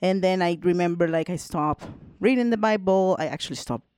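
A woman's voice: on the low side, a little fast, darkish tone, smooth, no echo, studio quality. A woman in her forties from El Salvador, co-hosting a podcast in a chatty, casual way with lots of fillers and laughter. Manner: chatty, laughs often, many fillers like "you know". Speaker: podcast co-host. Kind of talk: casual conversational storytelling.

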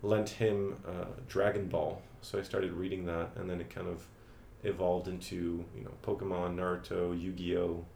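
A young man from France, a teacher, answering interview questions in a relaxed way with frequent ums and uhs. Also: deep voice, moderate pace, creaky, few pauses. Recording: echoey room with faint ambient noise.

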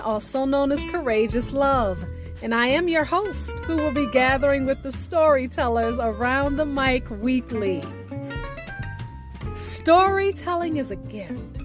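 A woman presents a radio show in a high voice. She speaks slowly and deliberately, with a welcoming announcer's delivery, while music plays clearly behind her. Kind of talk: scripted show introduction. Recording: voice over prominent music bed.

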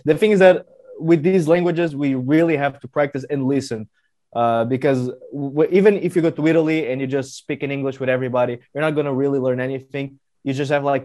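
A man co-hosts a relaxed podcast in a mid-range voice. He chats freely, agrees readily and jumps in with quick remarks. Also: medium pitch. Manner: chatty, agreeable, quick interjections. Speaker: podcast co-host. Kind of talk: casual conversation.

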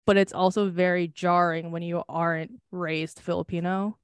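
A woman's voice: on the low side, level tone, normal speed, clean tone, no echo. Candid young woman, dry close sound. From United States. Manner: candid and rambling, swearing and laughing often. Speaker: podcast co-host. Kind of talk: casual chat.

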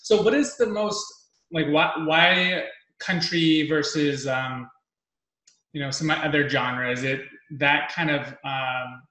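A man in his thirties hosting a casual podcast interview, talking quickly and rambling, full of fillers and restarts. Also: on the high side, bright, forceful tone, reverberant, poor audio. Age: 30s. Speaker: podcast host.

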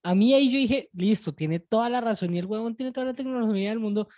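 A woman's voice: on the high side, fast talker, a little mellow. A woman with a Colombian accent co-hosting a casual podcast chat in blunt slang, swearing freely. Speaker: podcast co-host. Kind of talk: casual chat about pop culture.

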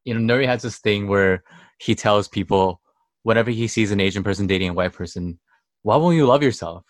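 Low-voiced young man chatting and laughing. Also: fairly quick, no room sound. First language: Chinese. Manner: playful, laughs often. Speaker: podcast co-host. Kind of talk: casual chat.

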